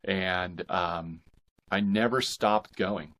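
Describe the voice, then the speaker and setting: American guy in his 40s, lowish voice, casual interview guest, kinda halting but enthusiastic. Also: steady tone, fairly bright tone. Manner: halting, thinking aloud, enthusiastic.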